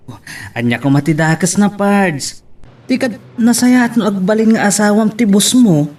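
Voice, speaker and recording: A man's voice: high voice, animated, moderate pace, darkish tone. A man with an Ilocano accent playing a jovial, teasing best friend in an amateur audio drama. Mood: amused, teasing. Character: jovial, teasing male best buddy giving love advice.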